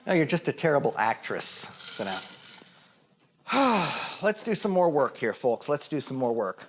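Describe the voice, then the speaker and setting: A man's voice: a little high, big pitch swings, unhurried. A man playing a cocky, belittling acting teacher in a naturalistic comedy, talking in a brash, sarcastic, rambling way. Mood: dismissive.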